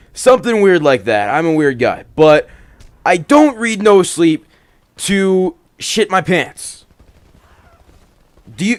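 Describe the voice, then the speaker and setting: A man hosting and reading horror stories in an expressive, dramatic way, moving between hushed and emphatic delivery. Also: on the high side, dry recording. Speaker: horror story reader and host. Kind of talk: dramatic first-person horror narration with casual commentary.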